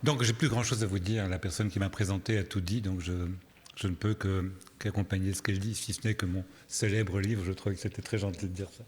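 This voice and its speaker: A man who is not a native French speaker gives a public lecture as a therapist, telling stories with wry, deadpan humour and posing deliberate rhetorical questions. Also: low-pitched. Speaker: psychotherapist giving a public lecture. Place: large reverberant hall, live talk. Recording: long hall reverberation.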